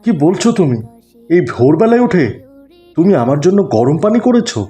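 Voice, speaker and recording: A man's voice: sits high, very animated, moderate pace, warm tone, faint music behind. A man playing a wayward young husband and son in a cartoon, plaintive and earnest. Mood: surprised. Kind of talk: cartoon dialogue.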